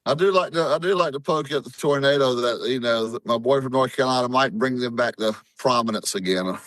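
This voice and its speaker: A middle-aged man chatting casually about sports on a podcast, telling animated stories with plenty of swearing and slangy dude-and-bro talk. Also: medium pitch, a little fast, dry recording. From Hawaii. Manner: animated storytelling, profane, says "dude" and "bro" often. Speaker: podcast guest.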